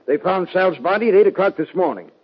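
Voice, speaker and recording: An actor playing an excitable, doubtful small-town sheriff in a 1950s radio western, dithering and folksy, with a creaky, husky voice. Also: male voice, high voice, fast talker, full character voice. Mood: alarmed. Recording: dull, lo-fi 1950 radio recording.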